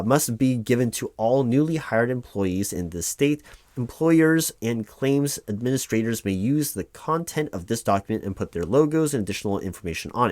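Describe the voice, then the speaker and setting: A low-pitched, officious male company clerk, played by a man in an audio roleplay, recorded close and dry. He goes through official paperwork in a bureaucratic way, hedging and reassuring evasively. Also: darkish tone, no room sound, lightly acted. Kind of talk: one-sided ASMR roleplay, reading paperwork aloud.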